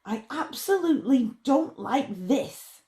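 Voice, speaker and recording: A woman's voice: sits high, lively intonation, slow, mellow, reverberant, pitched above the performer's own voice. A woman voicing a spirited, stubborn little girl in an emphatic, pleading way for a storybook. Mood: defiant.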